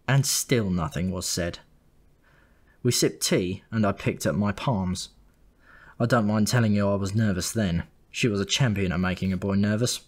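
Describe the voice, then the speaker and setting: Low-voiced man narrating a wry story. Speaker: audiobook narrator. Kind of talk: first-person comic storytelling, scripted narration.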